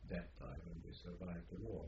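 An older man from Hungary giving an oral history interview, speaking in a measured, reflective way with frequent pauses. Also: low voice, steady tone, roomy sound, muffled recording, background noise.

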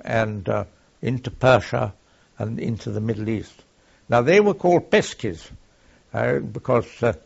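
An older man with a gravelly, husky voice, recalling his wartime service haltingly, with many pauses and fillers. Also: mid-range voice. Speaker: war veteran recounting service. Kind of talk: oral history recollection. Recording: dull lo-fi archive tape.

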